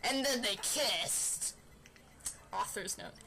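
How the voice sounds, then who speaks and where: A woman doing a playful mock dramatic reading of fan fiction, deliberately stilted, with asides and computer fan noise behind her. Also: mid-range voice, gravelly, pushed and bright. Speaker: amateur reader of fan fiction.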